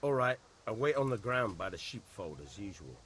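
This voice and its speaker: An actor voicing a seasoned, brisk and blunt sword instructor in a medieval video game. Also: male voice, fairly low pitch, big pitch swings, crowd noise. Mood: frank, encouraging.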